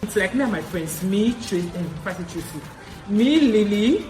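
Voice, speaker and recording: A woman's voice: mid-range voice, expressive, normal speed, music in the background. A young woman with a Nigerian accent tells personal stories in a casual, chatty vlog style, repeating phrases for emphasis. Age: young adult. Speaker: vlogger. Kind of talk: casual confessional storytelling. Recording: echoey room with clear background noise.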